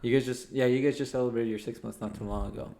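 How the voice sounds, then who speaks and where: A man's voice: medium pitch, steady tone, quick pace, creaky, warm tone. A young man hosting a casual podcast chat, upbeat and teasing, laughing often with his co-hosts. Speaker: podcast host.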